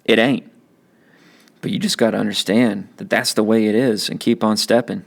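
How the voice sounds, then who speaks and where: Man playing a gravelly, cocky teenage big brother. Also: lowish voice, steady tone, moderate pace. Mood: earnest. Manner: slangy, blunt. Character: cocky, streetwise teenage older brother, tough but caring.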